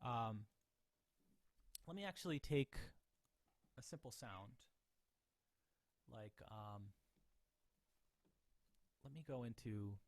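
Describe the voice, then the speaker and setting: A man teaching an informal online class, demonstrating software step by step and thinking aloud in a halting, tentative way. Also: mid-range voice, lively intonation. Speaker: music professor teaching a class. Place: online video class, voice through a microphone. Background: electronic music and synth tones.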